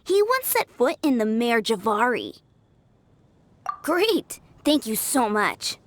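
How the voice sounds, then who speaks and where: A woman's voice: fairly high pitch, big pitch swings, slow pace, a little hiss or hum. A woman voicing an eager, modest young woman training to be a knight in a video game, earnest and admiring. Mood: friendly, earnest. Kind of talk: English video game voice acting. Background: video game music.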